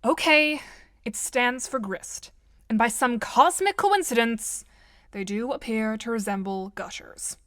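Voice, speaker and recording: A woman's voice: a little high, measured pace, a bit bright, no room sound, studio quality. A woman playing a composed, bossy, articulate teenage girl in an audio drama, formal and matter-of-fact. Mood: dry, puzzled.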